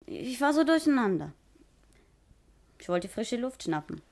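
A young woman under suspicion in a 1960s radio crime play. Her voice sits fairly high, and she answers in a curt, casual, guarded way. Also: big pitch swings, measured pace, creaky, exaggerated voice. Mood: guarded, defensive. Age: young adult. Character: defensive, offhand young woman suspected of arson. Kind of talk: 1960s German radio crime drama acting.

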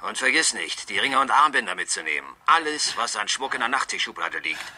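An actor playing a cold, commanding go-between for a murder in a radio crime drama, giving terse instructions over a telephone line. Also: male voice, mid-range voice, expressive, fairly quick. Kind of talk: 1970s German radio crime drama acting. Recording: noisy, clicking telephone line.

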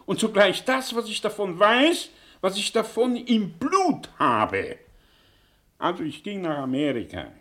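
A man's voice: sits high, big pitch swings, unhurried, bright, forceful tone, full character voice. A man acting a worldly, sardonic returned professor in a German radio play, theatrical, reflective and emphatic in his rhetoric. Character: worldly, sardonic professor back from exile in America.

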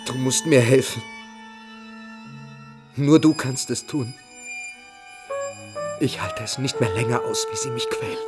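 A tormented, abject schoolboy pleads in fear and desperation. His voice is mid-pitched, in a radio drama with music playing clearly behind him. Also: huge pitch swings, unhurried, pauses often. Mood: desperate pleading. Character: abject, tormented, frightened male boarding-school pupil.

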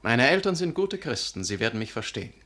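A man's voice: mid-range voice, big pitch swings, brisk, bright, forceful tone. A man playing an earnest, pious and touchy young pastor in a radio drama, solemn and intense. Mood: solemn, firm. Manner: solemn, intense, given to sudden outbursts. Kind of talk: German radio drama acting.

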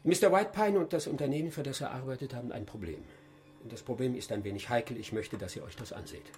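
An actor playing an officious, demanding agency boss with a formal, repetitive manner in a German radio play. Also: male voice, mid-range voice, quick pace, darkish tone, faint music behind, lightly acted. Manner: formal, repetitive, slightly flustered. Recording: noisy ambient background.

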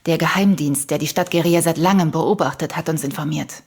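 An actress playing a cold, haughty conspirator in a radio drama, with a condescending, cool manner. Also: female voice, a little fast, darkish tone. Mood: smug, cold. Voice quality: husky, low. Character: cold, smug female conspirator and killer, haughtily superior.

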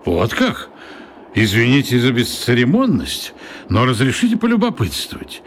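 A man's voice: mid-range voice, huge pitch swings, moderate pace, slight background noise. An actor playing a courteous, curious man on a train in a children's radio play, asking probing questions. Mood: curious, polite.

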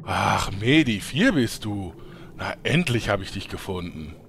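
Wildly animated cheeky freeloader played by a man. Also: fairly low pitch, unhurried, creaky, slight background noise, full character voice. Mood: sheepish. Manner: theatrical, sheepish then indignant. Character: cheeky freeloading male player in online game parody. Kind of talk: comedic audio drama acting.

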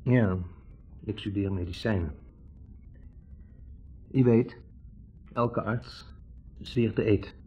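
Wavering young male medical student for radio drama: low, husky, hesitant, on a dull old recording. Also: slow pace, long pauses, faint music behind. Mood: hesitant, reflective. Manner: hesitant, searching for words. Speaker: actor in a radio play. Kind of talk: 1960s Dutch radio drama acting. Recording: dull, band-limited 1960s radio recording.

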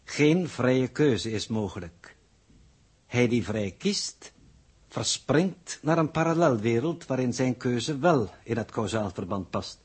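A man playing a calm, pedantic scientific coordinator who lectures formally and condescendingly in a 1960s radio drama. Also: medium pitch, slow pace, husky. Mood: lecturing. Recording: muffled-edged vintage 1960s radio recording.

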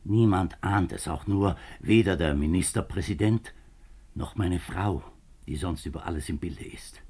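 A low-voiced, slightly rough-toned minister in a fifties radio crime play. He is earnest and formal, hesitating as he confides his troubles like a reluctant politician. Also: a little slow, a little mellow, full character voice. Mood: confiding, hesitant. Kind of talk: 1950s German radio drama acting.

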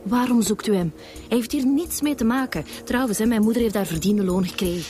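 A woman's voice: fairly high pitch, brisk, mellow, lightly acted. An actress playing a defensive, indignant young Flemish woman facing police in a radio drama. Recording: music behind the voice.

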